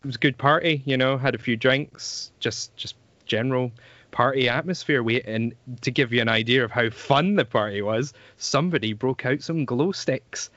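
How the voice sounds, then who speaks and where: A man's voice: mid-range voice, moderate pace, a bit bright, dry recording, dull audio. A man co-hosting a podcast with casual comic chat, jokey and self-deprecating as he tells anecdotes. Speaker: podcast co-host.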